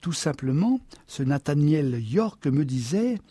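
A man reading aloud as a wife who answers in a calm, firm voice. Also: a little high, takes it slowly, husky, no echo, full character voice. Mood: dreamy. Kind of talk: audiobook dialogue reading.

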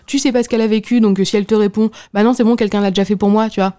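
A woman's voice: mid-range voice, fairly even intonation, warm tone. A young adult woman in casual discussion, blunt and emphatic, often cutting in. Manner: blunt, emphatic, quick to cut in.

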